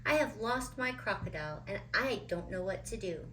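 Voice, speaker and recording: A woman's voice: medium pitch, animated, normal speed, a bit bright, a little hiss or hum, lightly acted, low-quality recording. A woman voicing a polite, anxious storybook lady with a pleading, flustered delivery. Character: polite, anxious woman searching for her lost pet. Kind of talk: children's storybook character voice.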